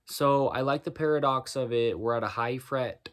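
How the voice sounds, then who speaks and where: A man with a deep voice doing a rhyming spoken-word freestyle, musing and preaching as he goes. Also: normal speed. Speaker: freestyle musician.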